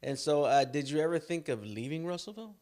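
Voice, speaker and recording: A man from Los Angeles interviewing a guest in casual chat, telling animated stories with sound effects and quoted voices. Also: a little high, fast.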